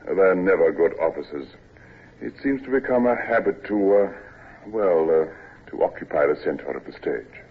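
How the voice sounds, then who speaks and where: An actor playing an ailing, worn-out old navy captain who speaks with a husky voice and hesitates with frequent "uh"s, in a classic radio drama. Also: male voice, sits low, expressive, moderate pace, exaggerated voice. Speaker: ship's captain. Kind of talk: 1940s-50s radio acting. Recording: tinny old radio broadcast recording.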